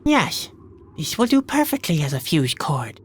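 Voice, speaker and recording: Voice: medium pitch, big pitch swings, a little slow, a little hiss or hum. A performer playing an earnest, melancholy young male jester in a video game, hesitant, plaintive and stubbornly hopeful. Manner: hesitant, plaintive, self-doubting. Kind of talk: scripted video game acting.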